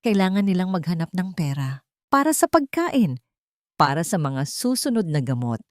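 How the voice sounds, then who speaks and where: Low-voiced woman narrating drama. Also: very animated, normal speed, no room sound, clean studio sound. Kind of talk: scripted audiobook narration.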